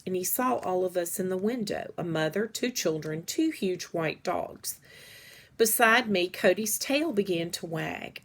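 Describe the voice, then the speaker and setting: A woman reading a children's story aloud in a measured, deliberate storytelling way. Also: lowish voice. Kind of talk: read-aloud story narration.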